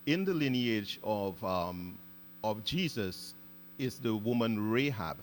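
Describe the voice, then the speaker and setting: Lowish-voiced man hosting. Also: animated, slow pace, slight background noise. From Guyana. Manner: thoughtful, hesitant, searches for words. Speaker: podcast host. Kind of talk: casual interview conversation.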